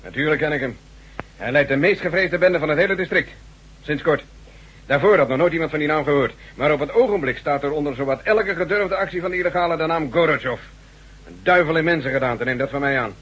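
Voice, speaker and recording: A police lieutenant with a husky, fairly high voice. He speaks with emphatic, grim authority in radio-play style. The recording is old and dull. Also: quick pace, fairly bright tone. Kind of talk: Dutch radio drama acting.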